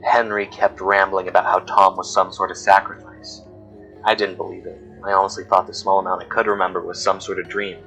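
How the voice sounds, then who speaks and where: A haunted woman played by a man confides dark secrets in a horror audio drama. The voice is low and grave, with music running beneath it. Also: little pitch movement, fairly quick, poor audio. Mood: grave, troubled. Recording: music bed under voice. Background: background music.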